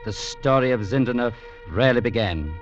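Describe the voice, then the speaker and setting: An actor playing a wistful English survivor recalling his boyhood, measured and reflective in 1940s radio drama narration. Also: mid-range voice, lively intonation, natural voice. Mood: nostalgic, foreboding. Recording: dull old radio recording, faint music.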